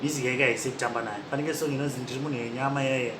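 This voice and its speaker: A man's voice: mid-range voice, steady tone, very fast. A man hosting a podcast, giving chatty, rambling commentary and laughing at himself now and then. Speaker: podcast host. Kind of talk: casual commentary mixing Shona and English. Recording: echoey room with noisy background.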